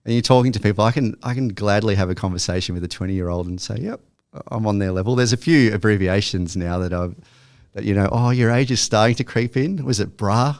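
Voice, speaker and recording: A man in his thirties co-hosting a podcast, agreeing quickly and giving practical advice. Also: fairly low pitch, no room sound. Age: 30s. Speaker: podcast co-host. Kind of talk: casual chat.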